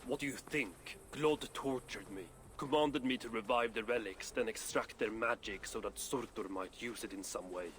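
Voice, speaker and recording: Man acting a gruff, sardonic dwarf craftsman. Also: on the low side, normal speed, few pauses, fairly bright tone, slight background noise, lightly acted. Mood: indignant, scornful. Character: foul-mouthed sardonic male dwarf craftsman, proud and grudging. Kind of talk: cinematic video game acting.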